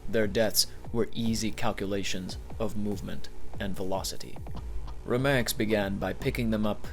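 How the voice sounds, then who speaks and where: A man narrating an audiobook in a measured, steady storytelling manner. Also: lowish voice.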